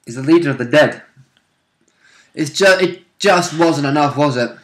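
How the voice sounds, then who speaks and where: A man's voice: fairly high pitch, moderate pace, fairly bright tone. A man chatting casually as a player and co-host about a tabletop game.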